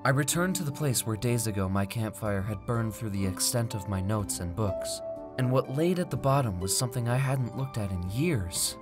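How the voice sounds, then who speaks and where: A man voicing a driven wandering mage who tells his own life story in measured, theatrical storybook narration. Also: on the low side, animated, lightly acted. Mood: calm, resolved. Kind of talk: dramatic first-person fantasy story narration. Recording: music under the voice. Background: background music.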